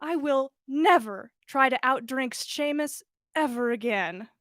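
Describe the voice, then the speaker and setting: A woman's voice: high-pitched, measured pace, a bit bright, no room sound, studio quality. A woman voicing a tipsy, weary young male wizard who grumbles and scolds himself in a story read-aloud. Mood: weary groaning.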